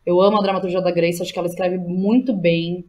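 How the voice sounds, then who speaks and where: A woman's voice: on the low side, little pitch movement, quick pace, a little mellow. A woman with a Brazilian accent speaking casually as an interview guest, colloquial, effusive and emphatic. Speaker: podcast guest, playwright and educator.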